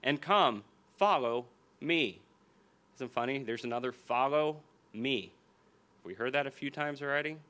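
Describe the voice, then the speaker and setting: Earnest American preacher at a lectern. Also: male voice, mid-range voice, sharp tone. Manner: earnest, slows for emphasis, repeats key phrases. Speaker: pastor. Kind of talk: sermon with scripture reading. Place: church hall stage at a lectern. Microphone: small stand mic on the lectern.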